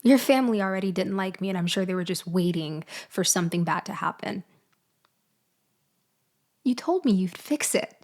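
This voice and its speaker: A woman acting as a bitter, sardonic ex-girlfriend out for revenge in an ASMR-style audio roleplay, with pointed, mock-sweet delivery and loaded pauses. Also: mid-range voice, expressive, darkish tone, natural voice. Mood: hurt, accusing. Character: vengeful ex-girlfriend freshly released from prison, bitterly sardonic.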